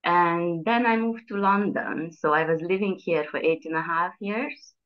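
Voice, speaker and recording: A middle-aged woman with a Hungarian accent and a low, deep voice, talking as a guest over a video call. She speaks hesitantly, restarting sentences as she searches for words. The call audio sounds dull and lo-fi. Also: a little slow. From Transylvania, Romania. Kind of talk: casual video call interview. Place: bright room indoors.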